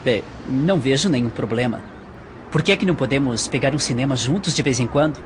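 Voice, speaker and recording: A man with a Brazilian accent playing a friendly, casual young shop colleague in audio drama dialogue, gently coaxing. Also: mid-range voice, a little fast, background noise, close to an everyday voice.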